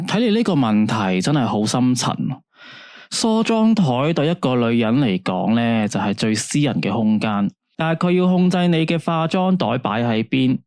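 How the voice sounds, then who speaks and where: A man's voice: mid-range voice, a little mellow, no echo, studio quality. A man playing a soothing late-night radio host. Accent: Hong Kong Cantonese. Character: male late-night radio phone-in host, calm and advising. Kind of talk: radio drama acting.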